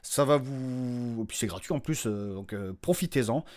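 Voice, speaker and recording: A man with a mid-pitched voice talks off the cuff as a podcast host. His delivery is informal and rambling, with slangy, self-deprecating phrasing, like an unscripted update to listeners. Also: expressive, moderate pace, darkish tone. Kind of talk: casual unscripted podcast announcement.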